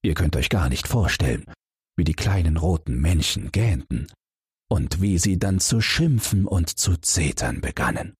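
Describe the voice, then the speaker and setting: A man reading a fairy tale aloud as a calm, expressive bedtime story, speaking directly to his listeners from a studio booth. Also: low-pitched, slightly rough, no room sound. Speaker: audiobook narrator.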